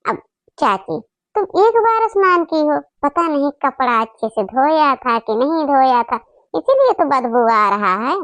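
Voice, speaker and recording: A woman's voice: high-pitched, sing-song, a little slow, fairly bright tone, no room sound, heavily acted. A woman voicing a snobbish, boastful young married daughter in a cartoon, haughty and disdainful. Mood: haughty disgust.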